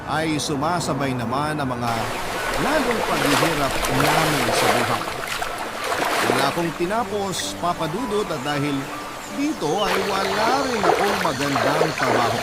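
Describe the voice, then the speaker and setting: Wistful, higher-voiced young man narrating. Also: lively intonation, a little slow, very noisy. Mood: wistful, sorrowful. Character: poor, wistful young man recounting his family's hardship. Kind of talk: first-person dramatic narration for radio.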